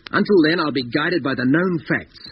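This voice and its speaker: An actor playing a stubborn, sceptical scientist on a spaceship crew in a 1950s radio drama, argumentative, dismissive and emphatic. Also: male voice, on the high side, normal speed, natural voice. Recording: dull, hissy 1950s radio recording.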